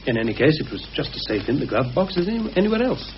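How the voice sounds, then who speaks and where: Anxious British conspirator, muffled old radio. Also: male voice, fairly high pitch, very animated, fast, slight background noise. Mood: grave, uneasy. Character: anxious, cautious male conspirator confiding a grave suspicion. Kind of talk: radio play acting.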